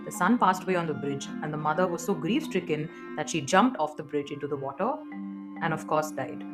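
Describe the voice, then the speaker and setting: A woman's voice: low voice, lively intonation, brisk. A woman narrating a ghost story directly to listeners. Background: guitar music.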